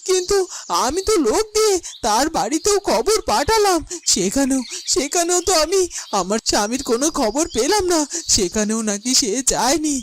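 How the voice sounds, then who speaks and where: A woman playing a distraught village wife in a melodramatic cartoon, pleading and repeating her words haltingly. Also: high-pitched, slight background noise.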